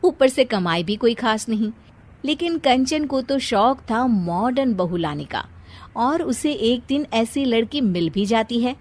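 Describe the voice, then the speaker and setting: A woman's voice: a little high, animated, unhurried. A woman narrating a scripted cartoon story in storybook style.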